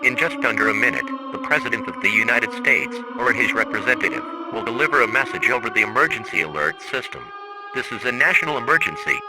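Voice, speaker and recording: A man's voice: medium pitch, lively intonation, fast, loud music behind the voice, rough recording. A man reading scripted emergency broadcast announcements in a formal, impersonal, stiff manner.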